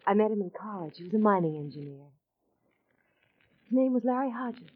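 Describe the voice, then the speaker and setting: An actress playing a rueful, casual young secretary at a desert mine in a vintage radio drama. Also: female voice, medium pitch, lively intonation, brisk, close to an everyday voice. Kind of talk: 1940s radio acting. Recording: thin phone-like old radio recording.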